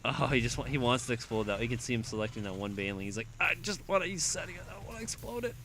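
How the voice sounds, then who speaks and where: A young man with a raspy voice calling a strategy game alone, excitable and quick to shout when fights break out. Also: medium pitch, a little hiss or hum. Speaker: esports caster. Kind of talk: solo play-by-play game commentary.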